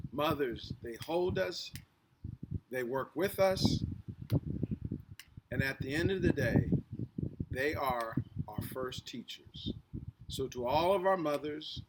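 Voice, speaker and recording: A man hosting and telling a story in an earnest, deliberate way, with pauses and repeated phrases. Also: a little high, background noise. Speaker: host and storyteller. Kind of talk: earnest address and read-aloud storytelling. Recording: echoey, distant microphone.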